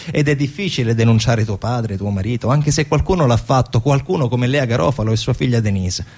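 A man's voice: medium pitch, a little mellow. A man narrating a theatrical documentary monologue in an explanatory way, posing rhetorical questions. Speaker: stage actor-narrator.

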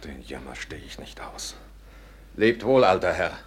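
An actor playing a gruff conspirator posing as a humble soldier in a classic radio drama, speaking in a grave, declamatory way. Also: male voice, low voice, sing-song, unhurried, exaggerated voice. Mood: solemn, sorrowful.

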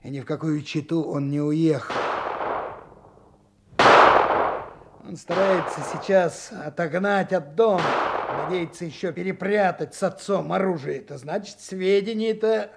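A man's voice: high-pitched, moderate pace, creaky, few pauses. An actor playing a commanding, sardonic detective leading a 1920s raid in a Soviet radio drama, brusque and ironic as he gives orders. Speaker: police detective in charge of a search. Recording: noisy old radio play recording, clicks.

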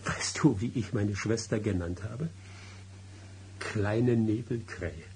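An actor playing a secretive, brooding, superstitious middle-aged husband in a German radio drama, grave and insistent in manner. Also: male voice, lowish voice, takes it slowly, darkish tone, slight background noise. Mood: wistful, ominous.

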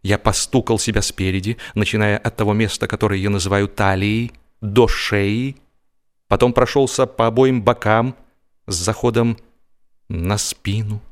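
A man narrating a humorous first-person story in a theatrical, expressive audio-drama style. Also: fairly low pitch, moderate pace. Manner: expressive, acting out comic hesitations and dialogue. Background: music.

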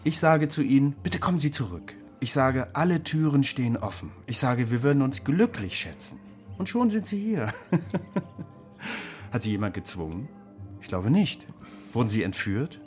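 A man's voice: mid-range voice, big pitch swings, moderate pace, a little mellow, soft background music, natural voice. Man acting a smugly jovial, menacing Nazi official. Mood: smug, taunting. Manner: oily, falsely courteous, insinuating. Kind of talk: radio drama acting.

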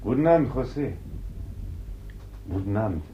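Radio drama: mild, polite young priest, played by a man, low voice, muffled old recording. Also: expressive, normal speed, natural voice. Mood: courteous. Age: young adult. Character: courteous, mild young village priest, modest and formal. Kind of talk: German radio play acting. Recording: dull, faintly noisy old radio recording.

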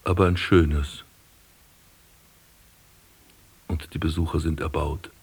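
An actor playing an embittered, melancholy aristocratic prince in a German radio drama, with theatrical, eloquent delivery. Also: male voice, rumbling low, little pitch movement, normal speed, creaky, slightly rough, exaggerated voice. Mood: resigned, melancholy. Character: embittered, melancholy aristocratic prince recalling his wasted life and burial.

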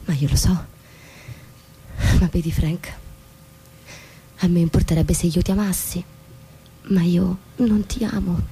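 An actress playing a disillusioned, coldly defiant wife in a theatrical radio drama, speaking deliberately with pointed pauses. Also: female voice, fairly low pitch, fairly even intonation, dark tone, slight background noise, poor audio. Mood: cold, detached. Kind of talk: theatrical stage-play acting for radio.

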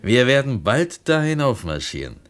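An actor playing a talkative, earnest and persuasive poor potter in a German radio play. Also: male voice, mid-range voice, very animated, moderate pace, clean tone, natural voice. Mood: eager.

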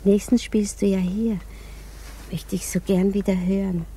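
A woman's voice: medium pitch, level tone, measured pace, a little hiss or hum, lightly acted. An actress playing a shy, yearning provincial woman in a 1950s German radio drama, speaking in a dreamy, intimate way. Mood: chatty. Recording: 1950s radio production, loud music underneath. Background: music.

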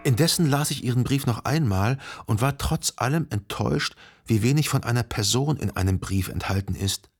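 An actor playing a melancholy, self-deceiving gentleman, a jilted lover narrating a literary radio drama in a measured, formal and carefully articulated way. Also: medium pitch, animated, faint music behind. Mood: wistful, brooding. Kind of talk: literary radio play narration, reading a letter.

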